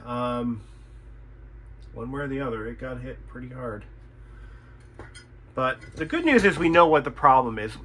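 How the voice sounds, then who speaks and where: A man's voice: medium pitch, animated, unhurried, pauses often, fairly bright tone. A man chatting casually and thinking aloud as he works through a repair, often asking himself questions. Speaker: radio repairer presenting own video. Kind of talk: casual chat while doing a repair.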